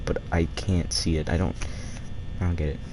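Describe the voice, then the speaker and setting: A man with a deep voice chatting casually and crudely while streaming a game, rambling and swearing as he plays. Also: little pitch movement, moderate pace, background noise. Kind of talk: casual live-stream chat while gaming.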